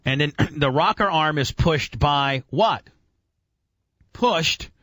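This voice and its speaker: A man's voice: fairly high pitch, animated, takes it slowly, fairly bright tone, no room sound. Man explaining and quizzing on radio, dry sound. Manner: enthusiastic, profane, teacherly. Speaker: radio show host.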